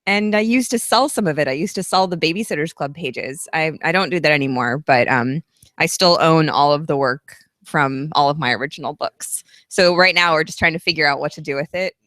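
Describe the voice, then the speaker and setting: A woman's voice: sits low, brisk, fairly bright tone, dry recording, clean studio sound. A woman in her thirties, a guest author at a live event, giving long, chatty, earnest answers. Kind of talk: casual interview at a live event.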